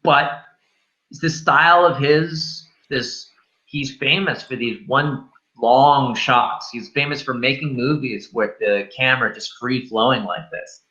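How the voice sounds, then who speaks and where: Guy ranting casually about movies, sharp forceful voice, slangy and emphatic, bit muffled audio. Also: medium pitch, slow pace. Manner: emphatic, colloquial, swears freely. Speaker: film review show host. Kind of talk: casual, slangy film chat.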